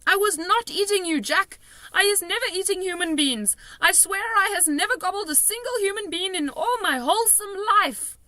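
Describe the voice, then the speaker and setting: A woman's voice: very high voice, animated, normal speed, bright, forceful tone, no room sound, voice pushed up, full character voice. A woman voicing a huge man-eating male giant from a storybook, screeching and bellowing pleas in broken grammar as a nightmare terrifies him. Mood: frantic, pleading. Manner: pleading, roaring in broken grammar.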